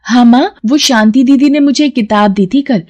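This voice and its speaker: A woman doing a gentle, pleading young daughter. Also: a little high, normal speed, muffled recording, pitched above the performer's own voice, lightly acted. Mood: sweet. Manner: coaxing, pleading.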